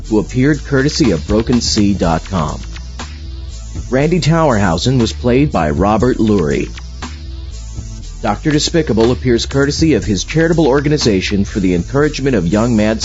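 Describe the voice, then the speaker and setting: A man's voice: fairly low pitch, darkish tone. A man reading scripted credits as the announcer of an audio show. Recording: dull lo-fi audio.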